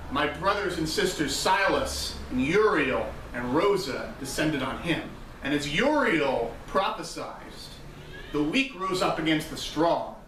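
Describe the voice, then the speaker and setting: A man giving a grandiose in-character speech as a proud, defiant vampire cardinal, with dramatic, emphatic pauses. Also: a little high, a bit bright. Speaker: live role-play performer giving an in-character speech. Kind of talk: theatrical oration to a gathering. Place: indoor room at a live role-play gathering. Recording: roomy, distant, noisy live-event recording.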